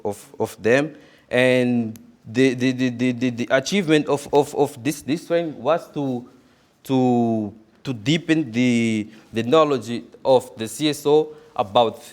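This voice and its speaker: Guy giving a talk at a conference, slow, keeps stumbling and repeating himself. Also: mid-range voice. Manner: halting, many repeated words. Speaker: conference speaker. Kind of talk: conference presentation.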